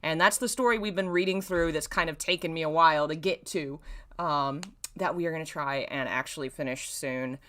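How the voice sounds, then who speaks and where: Low-pitched woman chatting on a livestream. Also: fairly quick, a bit bright. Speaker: livestream host. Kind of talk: casual livestream chat. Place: indoors in a dim room.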